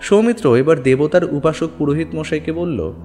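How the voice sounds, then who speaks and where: A man's voice: fairly high pitch, normal speed, dark tone. A man narrating a scripted ghost story dramatically as an audiobook reader. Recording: long reverb, music underneath. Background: background music.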